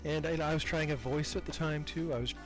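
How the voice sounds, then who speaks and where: A man's voice: a little high, steady tone, brisk, music in the background. A man being interviewed as a podcast creator, talking casually and reflectively in a self-deprecating, hesitant way, repeating words as he thinks.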